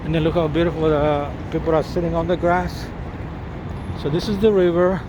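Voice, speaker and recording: A man's voice: fairly high pitch, flat delivery, brisk. A man filming himself walking outdoors along a riverside, pointing things out with eager, repetitive commentary. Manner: enthusiastic, repeats words, restarts phrases. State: slightly hoarse, coughing. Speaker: vlogger filming a city walk. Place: outdoors on a city riverside walkway. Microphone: handheld camera or phone while walking. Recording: outdoor city noise behind voice. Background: street noise, sirens, boats.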